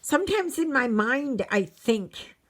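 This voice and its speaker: A woman in her sixties from Canada hosts a stitching channel at home. She chats casually while she works, slow and reflective, rambling with many fillers and murmuring softly to herself. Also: high voice, sing-song. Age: 60s.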